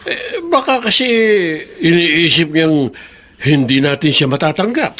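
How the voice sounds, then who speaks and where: A man's voice: a little high, very animated, unhurried, fairly bright tone, slight background noise. An actor playing a weary, troubled middle-aged father in a Filipino radio drama, exasperated and deliberating.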